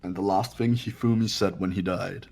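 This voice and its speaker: A man's voice: deep voice, fairly even intonation, normal speed, gravelly, darkish tone, faint music behind. A man playing an aloof, coolly logical, haughty young man in a mystery video game, speaking formally. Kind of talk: dramatic game voice acting.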